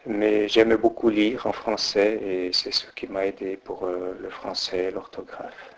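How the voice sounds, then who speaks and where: A slightly low-voiced middle-aged man from Portugal speaks in a relaxed interview. He hesitates and restarts often as he recalls details, filling the gaps with pauses. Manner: hesitant, many fillers and restarts. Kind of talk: oral history interview.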